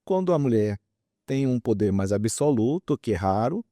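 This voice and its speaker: A man's voice: medium pitch, sing-song, normal speed, dark tone, dry recording, studio quality. A man, explaining his opinions in an interview with examples and repeated words for emphasis. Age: 50s. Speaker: guest psychologist and author.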